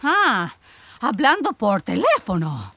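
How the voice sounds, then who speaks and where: A woman's voice: on the high side, huge pitch swings, slow, pushed and bright, no echo, heavily acted. An actress playing a suspicious, intense woman who questions people insistently in a radio drama. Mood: accusing. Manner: probing, insistent, agitated. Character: suspicious, intense sister returned from exile, interrogating. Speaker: actor in a radio play.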